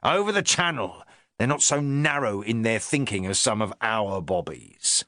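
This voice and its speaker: A man's voice: fairly low pitch, expressive, moderate pace, bright, forceful tone, no room sound, clean studio sound. A man voicing a pompous, hectoring police inspector in an audiobook, talking down in jabbing phrases. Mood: lecturing. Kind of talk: audiobook character reading.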